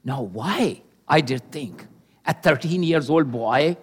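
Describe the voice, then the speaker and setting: Older guy preaching, slow and careful, kinda high voice, lots of uh pauses. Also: animated, breathy edge. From Pakistan. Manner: earnest and measured, with pauses and hesitations. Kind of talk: sermon with personal testimony.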